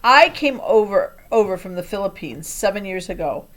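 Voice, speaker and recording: A woman's voice: mid-range voice, animated, moderate pace, fairly bright tone, natural voice. A woman reading an audiobook as an earnest male immigrant shopkeeper with a shaky voice. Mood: moved, resolute.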